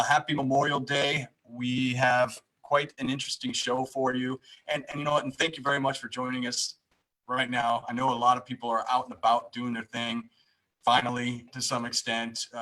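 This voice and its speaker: A man's voice: mid-range voice, sharp tone, low-quality recording. A man hosting a casual live stream, talking informally and often repeating his phrases. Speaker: live show host.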